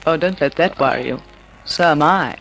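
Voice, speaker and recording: An actress playing a seductive, scheming femme fatale, poised and coaxing, in 1950s radio drama style. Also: female voice, sits low, normal speed, a bit bright, poor audio. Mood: coolly soothing. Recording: old radio drama recording with music.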